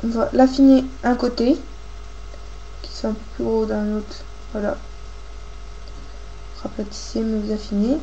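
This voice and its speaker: Woman, craft tutorial host, higher voice, congested with a cold, informal and halting, noisy homemade recording. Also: steady tone, warm tone, dull audio. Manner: informal, halting, thinking aloud. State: has a cold, coughing. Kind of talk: casual hands-on tutorial, talking while working.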